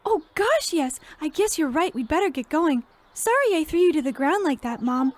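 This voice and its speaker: A woman's voice: sits high, expressive, normal speed. A woman playing a naive, wholesome and anxious teenage girl in an earnest old-time radio comedy play. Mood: eager. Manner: earnest, gee-whiz innocent.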